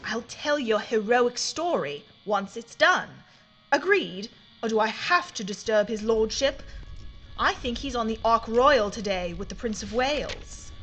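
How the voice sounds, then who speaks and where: Woman playing a high-voiced, poised aristocratic lady journalist. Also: lively intonation, measured pace, a bit bright, faint music behind, low-quality recording. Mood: wry, bargaining. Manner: assured, formal, teasing. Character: poised, bold, wry aristocratic lady journalist in wartime London. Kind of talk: period audio drama dialogue.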